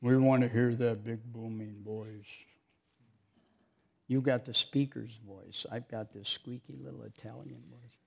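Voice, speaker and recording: A man's voice: mid-range voice, fairly even intonation, a little slow, gravelly, breathy edge. A man preaching an informal sermon, chatty and self-mocking, joking with the congregation between scripture readings.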